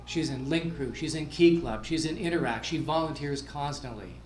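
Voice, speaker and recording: A man's voice: on the high side, normal speed, darkish tone, a little hiss or hum. A man giving an enthusiastic award introduction speech for a student at a school event. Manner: enthusiastic, proud. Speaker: school district official presenting award. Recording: echoey room with faint ambience.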